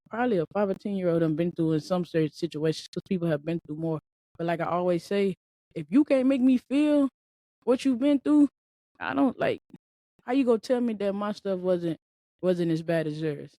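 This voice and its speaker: Mellow-voiced young woman podcasting. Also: mid-range voice, no room sound. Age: 20s. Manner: casual, repetitive, emphatic, preachy. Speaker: podcast host. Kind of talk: solo motivational podcast talk.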